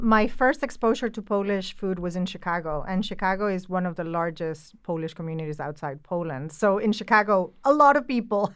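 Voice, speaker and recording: A woman co-hosts a podcast in a relaxed, chatty manner. Her mid-pitched voice is smooth and clear. The room is dry. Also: no echo. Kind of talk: casual chat.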